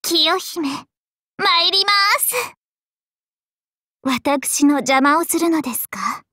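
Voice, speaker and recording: High-voiced, genteel, devoted dragon maiden. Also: female voice, animated, no echo, studio quality, full character voice. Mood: resolute. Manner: polite, genteel, softly deliberate. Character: devoted, possessive young dragon maiden in love. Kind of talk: Japanese anime game voice lines.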